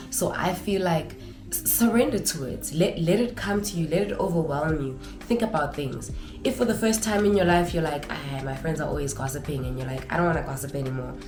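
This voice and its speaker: Vlogger, woman, young adult, low voice, nasal and stuffed up, echoey room with music underneath. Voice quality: nasal from congestion. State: congested. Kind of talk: casual advice vlog.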